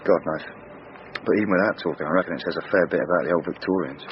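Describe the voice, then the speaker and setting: A man's voice: deep voice, rapid-fire, natural voice. An actor with a British accent playing a cheeky, blunt male police sidekick, joking and colloquial, in a naturalistic radio crime drama. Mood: teasing. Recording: noisy, dull radio drama recording.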